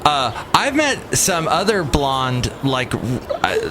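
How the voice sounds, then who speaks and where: A man hosts a relaxed conversation, jumping in with quick questions and reactions. His voice moves freely up and down, with steady noise in the background. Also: medium pitch. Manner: reactive, interjects with short questions. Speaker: host. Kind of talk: casual chat. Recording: noisy background.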